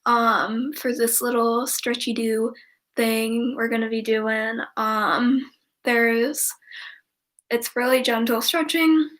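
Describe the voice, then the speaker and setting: A woman's voice: a little high, steady tone, slow, a bit bright, reverberant. A young woman with a stuffy, congested nose, chatting casually and rambling as she leads a stretching class from home. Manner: chatty and rambling, many ums, laughs easily. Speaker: stretching instructor. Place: home room, indoors.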